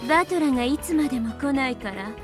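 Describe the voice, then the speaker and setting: A woman's voice: high-pitched, lively intonation, very fast, over music. A woman playing a cool, indifferent witch girl in an anime-style visual novel, condescending and dismissive in manner. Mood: aloof. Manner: aloof, dismissive.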